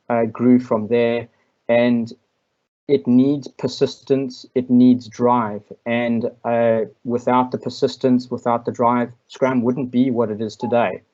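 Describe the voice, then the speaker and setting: A man from South Africa with a South African accent, answering questions in an online masterclass in a measured, reflective way with frequent ums and restarts. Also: medium pitch, a little mellow, dull audio. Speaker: invited speaker.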